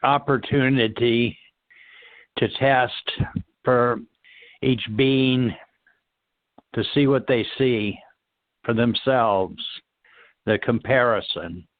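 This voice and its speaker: A man shares spiritual reflections off the cuff. He speaks very slowly at a middling pitch, pausing often as he searches for words. The audio is narrow and phone-like. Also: dry recording. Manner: halting, searching for words, long pauses. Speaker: discussion participant.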